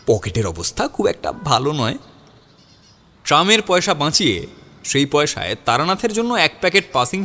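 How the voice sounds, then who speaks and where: Man telling an audio story, kinda high voice, very animated, reverby. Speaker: first-person narrator. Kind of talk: scripted story narration with acted dialogue.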